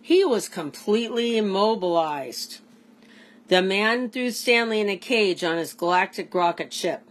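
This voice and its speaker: A woman, a teacher, reading aloud to children in an expressive storybook style. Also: mid-range voice, a little slow.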